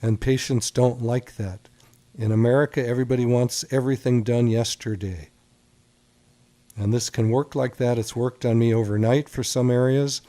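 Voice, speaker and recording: A man's voice: medium pitch, steady tone, normal speed, dark tone. A man hosting a radio call-in show as a doctor, chatty and reassuring with callers.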